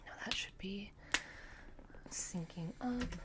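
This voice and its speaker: Whispering woman doing gentle ASMR roleplay. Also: medium pitch. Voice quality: breathy whispering. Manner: gentle, reassuring, unhurried murmuring. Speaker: ASMR performer. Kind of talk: soft-spoken ASMR roleplay.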